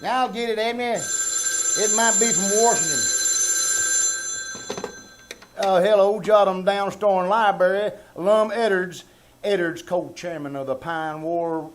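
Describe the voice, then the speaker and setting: Man acting bossy old Southern storekeeper, high voice, pompous, rustic, old-time radio play, faint stage noise. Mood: self-important. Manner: pompous, rustic, ungrammatical speech. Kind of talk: 1940s radio comedy acting. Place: small-town theater stage, before an audience. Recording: live stage with background noise.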